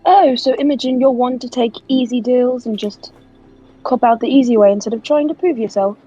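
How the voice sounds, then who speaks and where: A woman's voice: a little high, a little fast, dark tone, husky. A woman talking casually as a player in an improvised tabletop roleplay. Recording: dull lo-fi microphone sound.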